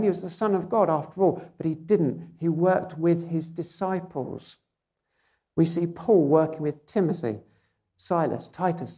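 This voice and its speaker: A preacher with a quiet, relatively weak voice, giving a gentle, measured sermon in church through a microphone and speaker system, often asking listeners rhetorical questions. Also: mid-range voice.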